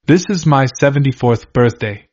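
A man reading old first-person diary entries aloud in a measured, steady way. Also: medium pitch. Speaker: reader. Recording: slightly dull lo-fi recording.

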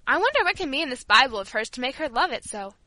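Woman playing a cocky storybook boy, higher-pitched, bright, blunt, teasing, curious. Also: very animated, quick pace, dry recording, exaggerated voice. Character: brash, opinionated, curious young boy.

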